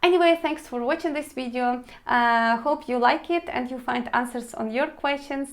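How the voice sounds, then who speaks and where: A woman with a Ukrainian accent, her first language Ukrainian, speaks to her viewers in a fairly high voice. She is in her thirties and talks earnestly and plainly, often calling her audience "guys". Her delivery is direct and personal, in the manner of a vlog host. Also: moderate pace. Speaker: channel host.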